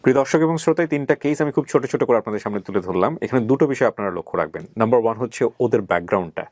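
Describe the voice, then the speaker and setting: A Bangladeshi man in middle age hosts an interview in a conversational, emphatic way. His voice sits at an ordinary pitch and is recorded very dry. He moves between questioning guests and addressing viewers, peppering his talk with English words. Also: expressive, racing, a little mellow, dry recording. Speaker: interview host.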